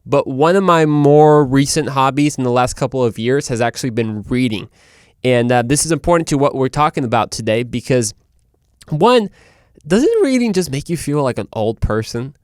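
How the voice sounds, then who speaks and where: Casual male host, medium pitch, crisp voice, chatty self-interrupting delivery to listeners. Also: lively intonation, normal speed, dry recording, clean studio sound. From Guadalajara, Mexico. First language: Spanish. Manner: informal, conversational, self-interrupting. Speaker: show host.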